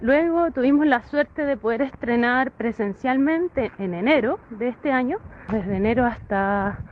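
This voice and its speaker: A high-voiced woman, speaking in a friendly, steady way as she explains her dance company's work. The recording is a little muffled, with a faint hiss behind her. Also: unhurried. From Chile. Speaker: dance theatre performer. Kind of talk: recorded voice message.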